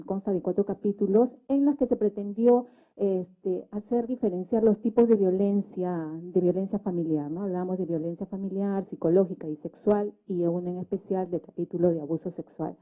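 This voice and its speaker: A woman with a Peruvian accent speaking at a public debate, explaining methodically and often adding tag questions. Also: medium pitch. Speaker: government social communicator, panel speaker. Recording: thin phone-like sound.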